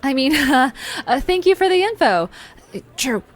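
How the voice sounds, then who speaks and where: A woman's voice: sits high, very animated, normal speed, some chatter behind. A woman playing a blunt, easily flustered young amateur investigator in an audio drama, with a hesitant, sardonic way of talking. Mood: awkward. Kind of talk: audio drama dialogue, conversational.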